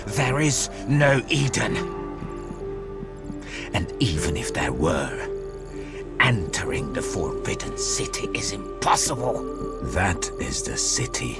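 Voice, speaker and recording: An actor playing a weary, stern, fatherly old leader in a video game, with a gravelly voice and slow, grave delivery. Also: heavily acted. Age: older. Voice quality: gravelly, deep. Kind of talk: video game character acting. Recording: loud music under the voice.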